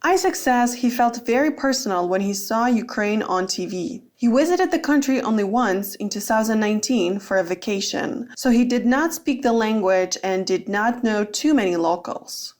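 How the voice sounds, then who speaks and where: A woman's voice: on the high side, animated, normal speed, hardly any pauses, darkish tone. Woman narrating a radio documentary. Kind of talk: scripted narration.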